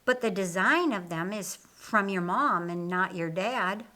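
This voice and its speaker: A woman voicing a calm, assured male religious teacher in an audiobook, speaking deliberately and stressing key words. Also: medium pitch. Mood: instructive. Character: Jesus, a man, calm, assured, kindly teacher. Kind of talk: audiobook character dialogue.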